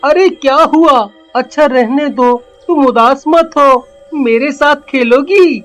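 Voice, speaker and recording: Woman doing a high-voiced cheeky poor village boy, slow earnest cartoon delivery. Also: faint music behind. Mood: kind, wistful. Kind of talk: Hindi cartoon voice acting.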